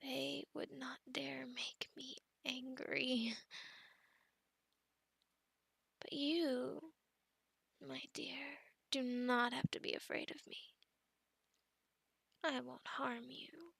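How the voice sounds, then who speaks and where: A woman playing a possessive, obsessive female werewolf in an ASMR roleplay, often whispering, formally worded and softly menacing, close to the microphone. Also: sits high, steady tone, dry recording, heavily acted. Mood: possessive, soothing. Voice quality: breathy whispering. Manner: slow, formal wording, soft menacing coaxing, often whispering.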